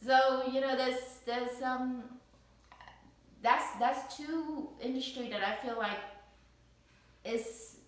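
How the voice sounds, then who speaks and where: A woman's voice: high voice, steady tone, takes it slowly, pauses often. A woman from Vietnam being interviewed as a parent, speaking hesitantly with many fillers and restarts. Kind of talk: casual recorded interview. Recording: echoey room, distant mic.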